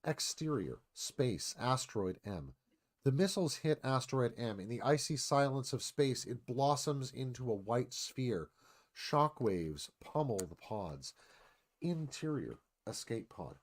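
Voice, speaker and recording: Animated man narrating an action screenplay. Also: mid-range voice, measured pace. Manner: clipped, dramatic phrasing. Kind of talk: dramatic scripted narration.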